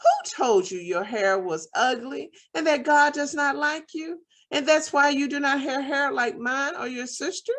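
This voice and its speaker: A woman voicing a gentle, loving mother who speaks sweetly to soothe her child. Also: medium pitch, sing-song, slow, husky, full character voice. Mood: concerned.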